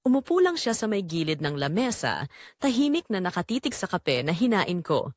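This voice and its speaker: A woman's voice: fairly low pitch, big pitch swings, moderate pace, no echo, clean studio sound. A woman with a Filipino accent reading a scripted first-person dramatic story as narrator.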